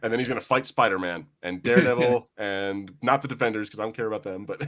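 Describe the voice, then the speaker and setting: Fast-talking, sweary man podcasting. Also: on the low side. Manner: excitable, sweary. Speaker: podcast host. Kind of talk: casual pop-culture podcast banter.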